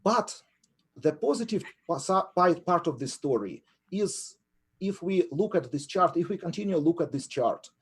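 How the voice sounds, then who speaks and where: A man's voice: high voice, animated, moderate pace, fairly bright tone, breathy edge. A man with a Ukrainian accent presenting an academic webinar as a professor, emphatic and repeating phrases for effect.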